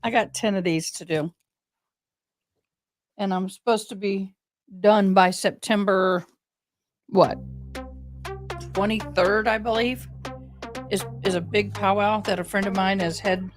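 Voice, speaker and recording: A woman hosting a casual livestream, talking in a halting, rambling way. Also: mid-range voice, fairly even intonation, music in the background. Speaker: livestream host.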